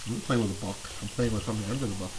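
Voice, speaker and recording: A man's voice: fairly low pitch, rapid-fire. Joking young-sounding man over a sizzling hiss. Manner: joking, crude, laughs often. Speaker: gameplay commentator. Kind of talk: casual let's-play commentary. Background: book-tapping noises.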